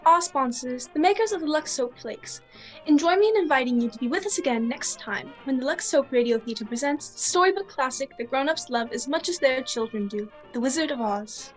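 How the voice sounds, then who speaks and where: A woman's voice: high-pitched, expressive, a little fast, faint music behind, poor audio. A woman reading a scripted old-time radio sponsor announcement as the show's host. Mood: presentational.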